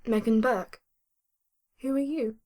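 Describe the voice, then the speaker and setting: A woman's voice: on the high side, steady tone, slow pace, darkish tone, voice pushed up. A woman voicing a weary, injured young female survivor in a story reading, plaintive and earnest. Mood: tired, gentle.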